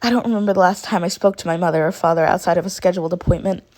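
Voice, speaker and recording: A woman's voice: lowish voice, rapid-fire, darkish tone, lightly acted. A woman voicing a polite, reserved rich teenage schoolgirl with a formal manner in a storybook reading. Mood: apologetic, wistful.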